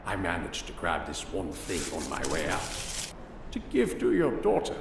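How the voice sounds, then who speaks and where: An actor playing a serene, devout imprisoned priest, speaking calmly and solemnly in an audio drama. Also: male voice, sits low, moderate pace, vocal fry, heavily acted. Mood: gently pleading. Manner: calm, solemn, preacherly. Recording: distant echoey room with loud noise.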